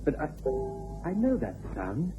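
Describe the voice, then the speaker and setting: Flustered, high-voiced, stammering suspect. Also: male voice, huge pitch swings, unhurried, music in the background. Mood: flustered, panicky. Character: nervous, evasive male suspect, stammering under questioning. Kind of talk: radio drama acting. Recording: dull old radio recording.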